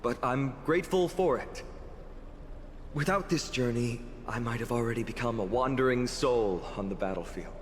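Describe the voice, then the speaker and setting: An actor playing a gracious, solemn young warrior hero in a video game, speaking in a measured, formal and sincere way. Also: male voice, mid-range voice, in a large hall, slight background noise, lightly acted. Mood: solemn, reflective.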